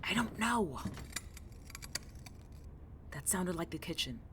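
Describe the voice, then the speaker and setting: A young male student in an audio drama, anxious and guarded. He talks in blunt, dry, terse lines with an irritable but protective edge. Clear background noise sits behind his voice. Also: high voice, very animated, slow pace, heavily acted. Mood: wary, terse.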